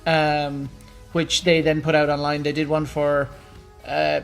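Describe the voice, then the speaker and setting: A man with a fairly high voice talks casually as a podcast co-host. It is loose, conversational talk, with music playing faintly behind him. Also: completely flat delivery, a little slow, vocal fry, fairly bright tone. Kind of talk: casual chat about film and games.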